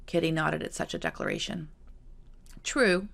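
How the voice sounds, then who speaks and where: A woman's voice: lowish voice, brisk, gravelly. A woman reading her own novel as scripted audiobook narration.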